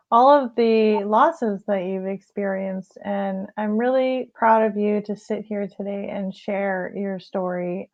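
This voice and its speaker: Woman host, low energy, soft-spoken and rambling, dull lo-fi sound. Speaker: life coach host. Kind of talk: casual interview chat. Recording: slightly dull lo-fi audio.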